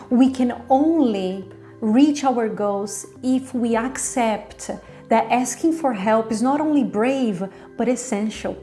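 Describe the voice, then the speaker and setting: High-voiced woman giving an articulate review. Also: warm tone. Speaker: drama reviewer hosting own review show. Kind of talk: semi-scripted review commentary.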